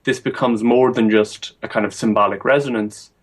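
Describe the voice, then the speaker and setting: A young adult man answering as a guest historian in an interview, measured and thinking aloud with frequent ums. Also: medium pitch, steady tone.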